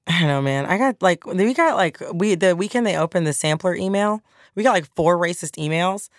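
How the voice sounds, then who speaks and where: A woman's voice: lowish voice, a little fast, no room sound. A woman podcast host in a studio, talking bluntly and with energy, acting out her replies to critics. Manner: blunt, animated, acts out retorts. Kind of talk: casual studio chat. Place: recording studio. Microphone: studio microphone.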